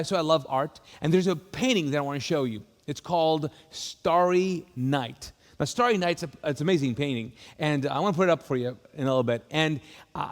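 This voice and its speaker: A man, a pastor, giving a casual, conversational welcome talk with many fillers and restarts. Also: fairly high pitch. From Kuwait. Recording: long hall reverb.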